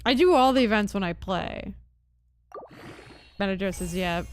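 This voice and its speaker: A woman's voice: medium pitch, moderate pace, a little hiss or hum. A woman chatting casually and thinking aloud as she livestreams a game. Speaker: game streamer. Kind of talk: casual livestream gaming chat. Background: game music.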